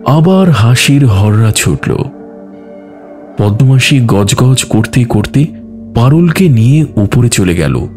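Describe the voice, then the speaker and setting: A man narrating a scripted audio story in a measured, expressive, dramatic way. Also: medium pitch. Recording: music behind the voice. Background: background music.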